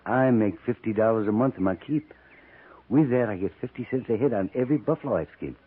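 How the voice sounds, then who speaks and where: An actor playing a gloating, menacing buffalo skinner with a folksy frontier drawl in a 1950s western radio drama. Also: male voice, lowish voice, expressive, fast, slightly rough, phone-quality sound. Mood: scornful, boastful. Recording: noisy, thin 1950s radio recording.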